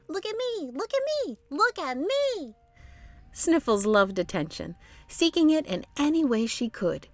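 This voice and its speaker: A woman's voice: a little high, darkish tone, dry recording. A woman hosting a children's read-along, narrating expressively and speaking directly to young listeners. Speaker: storytime host and narrator.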